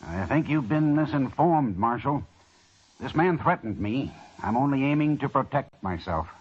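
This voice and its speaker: A proud, middle-aged cattle colonel of the Old West, gruff and husky. He blusters indignantly in old radio western style. The muffled vintage recording dulls the voice. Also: mid-range voice, expressive, normal speed. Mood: defensive, stern.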